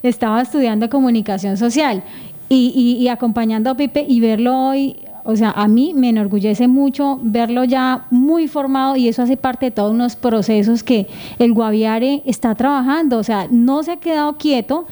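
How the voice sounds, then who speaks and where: A Colombian woman talks as a radio co-host in a casual, reflective style. Her voice sits a little high and has a very soft, warm tone. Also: normal speed.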